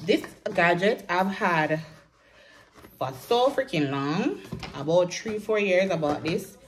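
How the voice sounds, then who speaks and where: A young Jamaican woman vlogging at home, talking casually to her phone camera in a chatty, relaxed way and slipping into patois now and then. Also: fairly low pitch, slow, a little hiss or hum. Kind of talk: casual vlog chat to camera. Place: small home bathroom and bedroom, indoors. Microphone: phone camera at arm's length.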